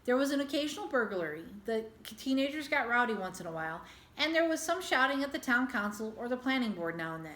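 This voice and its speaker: Woman reading a story, echoey high voice. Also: big pitch swings, fast talker, fairly bright tone. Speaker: teacher reading a children's book aloud. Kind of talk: expressive storybook narration. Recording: echoey room.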